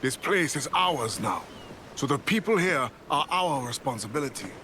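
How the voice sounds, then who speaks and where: An actor playing a stern, principled pirate quartermaster in a video game, formal and commanding in manner. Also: male voice, mid-range voice, lively intonation, moderate pace, pushed and bright. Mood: dutiful. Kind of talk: video game cutscene acting. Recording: dialogue over clear background noise.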